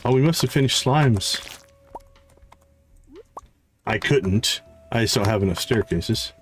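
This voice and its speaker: A man hosting a game livestream with casual, unhurried commentary, thinking aloud as he plays. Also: mid-range voice, soft background music. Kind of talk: casual live gameplay commentary. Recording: faint background noise with clicks.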